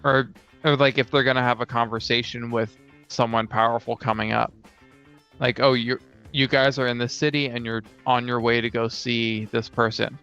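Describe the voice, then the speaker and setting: Gravelly, even-toned man in casual chat. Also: medium pitch, normal speed, dull audio, faint music behind. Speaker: podcast co-host and game master. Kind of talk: casual chat about tabletop role-playing games.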